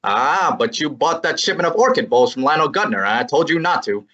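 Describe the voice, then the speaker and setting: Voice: mid-range voice, lively intonation, a little fast, a bit bright. A student actor playing an exasperated young male assistant and narrator in a student radio drama, with a pleading, wisecracking manner. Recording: dull lo-fi recording.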